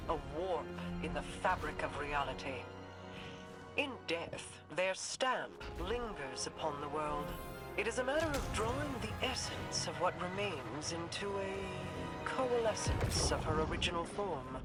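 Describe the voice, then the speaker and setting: A woman's voice: lowish voice, lively intonation, a little slow. An actress playing a regal, solemn, mystical queen in a video game, speaking with stately formality. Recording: loud music behind the dialogue.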